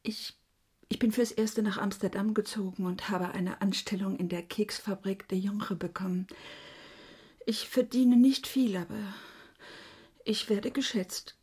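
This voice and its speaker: Heisere, hauchige Ehefrau und Mutter. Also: female voice, medium pitch, fairly even intonation, a little slow, a little mellow, husky. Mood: subdued, dutiful. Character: dutiful, struggling wife and mother reporting family news. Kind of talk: radio drama acting.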